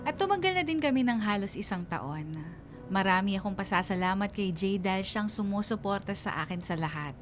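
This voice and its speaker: A woman's voice: medium pitch, animated, fairly quick, soft background music, natural voice. A woman playing a heartfelt young writer telling her own love story as radio drama narration. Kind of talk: first-person radio drama narration, letter to DJ.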